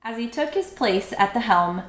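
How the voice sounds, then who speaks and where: A woman reading a children's book aloud expressively, with dramatic, drawn-out emphasis. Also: on the high side, animated, fairly bright tone. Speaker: storybook read-aloud teacher. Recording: echoey room.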